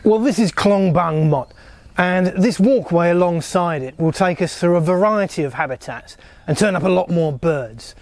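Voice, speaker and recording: A middle-aged man presenting to camera outdoors beside a canal, in a relaxed, wry, conversational way. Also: high-pitched, moderate pace, no room sound. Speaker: birding vlogger.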